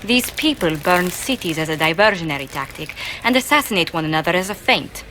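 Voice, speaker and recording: A poised, grave court diplomat from a fantasy video game. Her voice sits fairly low and she gives formal, measured warnings with real earnestness. There is clear noise behind her. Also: animated, sharp tone.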